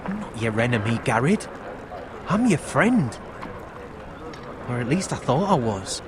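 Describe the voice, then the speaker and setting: An actor playing a friendly, uneasy young wildling man in a video game, speaking in a halting, confiding way. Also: mid-range voice, big pitch swings. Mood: hurt, unsure. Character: friendly young wildling man hiding among sworn watchmen, earnest, uneasy. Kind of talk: dramatic video game voice acting. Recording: clearly noisy outdoor ambience.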